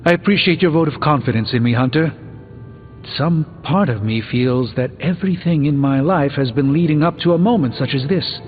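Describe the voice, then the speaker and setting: An actor playing a cautious, scholarly male sorcerer in a video game, measured and articulate, with uneasy dry wit. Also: fairly high pitch, natural voice. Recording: muffled narrow-band sound with long reverb. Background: music.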